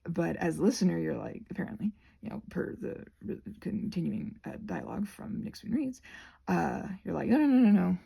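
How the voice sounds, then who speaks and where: Husky, mellow woman rambling casually. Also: lowish voice, very animated, moderate pace. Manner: digressive, self-correcting, trails off and restarts thoughts. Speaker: host of a rambling craft vlog.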